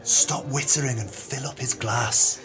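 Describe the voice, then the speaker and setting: Music plays clearly behind a scheming con man voiced by a male actor in an audio play. His middling voice puts on genteel agreeableness, with a bossy, impatient streak. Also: medium pitch, steady tone, measured pace, a bit bright, lightly acted, low-quality recording. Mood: impatient, malicious. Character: scheming, jealous swindler, impatient and falsely agreeable.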